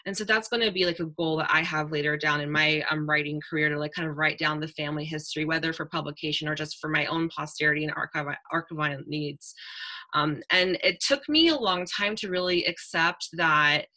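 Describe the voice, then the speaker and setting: A young woman talks on a video call panel with an earnest, reflective manner, her voice a touch low but crisp and bright. She fills her thoughts with "like" and "um" as she goes. The sound comes through a simple webcam mic. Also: fairly quick. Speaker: panel speaker, writer. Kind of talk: casual panel talk. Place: indoor room with a virtual backdrop.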